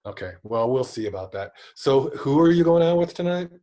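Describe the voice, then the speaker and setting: A man's voice: mid-range voice, lively intonation, brisk, warm tone, voice pushed up, close to an everyday voice. An exasperated, strict father, played by a man, laying down house rules. He sighs heavily and lectures with weary impatience. The scene is an improvised role-play. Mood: stern.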